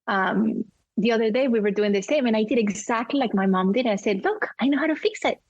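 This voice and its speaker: Colombian woman, 40s, Spanish native, interview guest, heartfelt, halting, dry muffled sound. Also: a little high, no room sound. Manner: emotional, candid, many fillers and restarts. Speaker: guest, cinematographer. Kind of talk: casual interview conversation.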